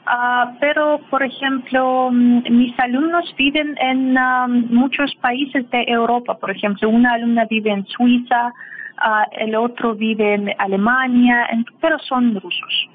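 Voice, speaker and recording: Russian woman, non-native speaker, slow halting delivery, higher voice, tinny phone line. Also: steady tone. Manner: halting, searching for words, with frequent fillers. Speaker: guest, language teacher and film translator. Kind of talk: casual interview over a call.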